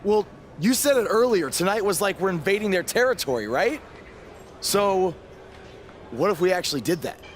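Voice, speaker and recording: An actor playing a cocky, brash young indie wrestler and needling prankster in a video game cutscene, with a goading manner. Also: male voice, high voice, animated, moderate pace, long pauses. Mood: scheming. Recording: noisy arena, clear crowd behind.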